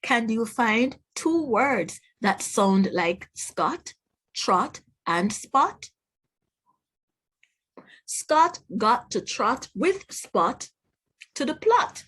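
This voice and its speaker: A woman's voice: fairly high pitch, sing-song, very slow, pauses often, a bit bright, husky. A woman with a Jamaican accent reads aloud to children as a teacher, enunciating words in an instructive way and putting questions to the listener. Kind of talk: children's read-aloud phonics lesson.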